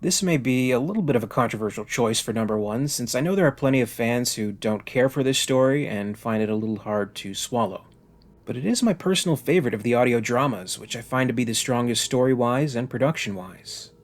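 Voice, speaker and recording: A man hosts a fan review channel, reading a scripted video essay. His voice sits at an ordinary middle pitch, and he presents in the manner of a prepared narration. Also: lively intonation, brisk.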